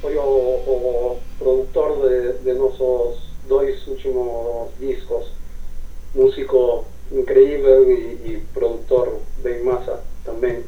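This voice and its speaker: A man born in Brazil and raised in Argentina, a musician guest speaking haltingly with many repeated words in a casual chat over an internet call. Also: mid-range voice, flat delivery, reverberant, narrow, tinny audio. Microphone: computer mic over internet call.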